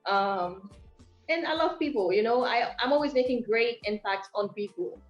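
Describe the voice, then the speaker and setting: A bubbly young woman with a thick Haitian accent, speaking a bit high and full of "you know"s as a guest on a music interview. Her voice sounds dull and roomy, with faint music underneath. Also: expressive, normal speed. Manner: bubbly, upbeat, full of fillers. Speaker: guest singer. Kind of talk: interview conversation.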